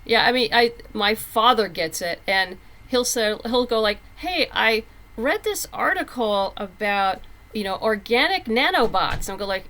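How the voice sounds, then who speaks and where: Bright-voiced woman chatting as interview guest. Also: a little high, lively intonation, normal speed, hardly any pauses. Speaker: interview guest, comic book writer. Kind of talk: casual conversational interview.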